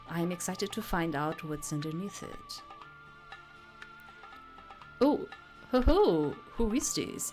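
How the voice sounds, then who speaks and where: Woman reading as slow-talking flirtatious male womanizer. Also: lowish voice. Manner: florid, leering flattery. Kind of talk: visual novel dialogue read aloud.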